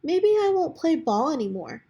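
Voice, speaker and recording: A woman's voice: sits high, big pitch swings, normal speed, warm tone, lo-fi sound, pitched above the performer's own voice. A woman voicing an eager, earnest young boy who speaks up with feeling in a children's storybook. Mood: worried. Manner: enthusiastic, exclaiming.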